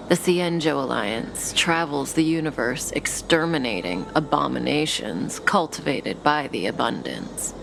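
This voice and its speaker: An actress playing a cool, enigmatic, teasing female schemer in a video game, with a languid, softly sardonic delivery and a velvety voice. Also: sits low, creaky, background noise, exaggerated voice. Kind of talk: scripted video game character dialogue. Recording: studio voice over game music.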